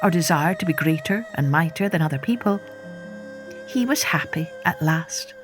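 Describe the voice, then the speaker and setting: A woman hosting a storytelling podcast, narrating in a measured, expressive scripted storybook style. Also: deep voice, music in the background.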